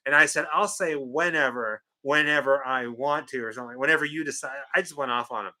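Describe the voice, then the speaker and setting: A man's voice: mid-range voice, brisk. A man from the West Coast co-hosting a casual livestream, telling stories and joking in a playful way. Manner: playful, jokey, animated storytelling. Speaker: co-host.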